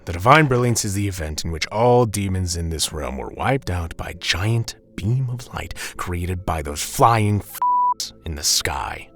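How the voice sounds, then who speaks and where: A man playing a suave, teasing demon who poses as a student and speaks with theatrical politeness in an animated roleplay. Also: low-pitched, a little slow, full character voice. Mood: mocking. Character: smug, suave male demon posing as a student. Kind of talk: scripted animated roleplay acting.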